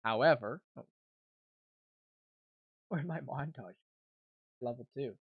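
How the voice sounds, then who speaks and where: A man's voice: medium pitch, slow pace, creaky, breathy edge, no echo, narrow, tinny audio. A young man giving casual, informal live trading commentary as a livestream host, thinking aloud as he goes. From Canada. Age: young adult. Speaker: livestream host, amateur day trader.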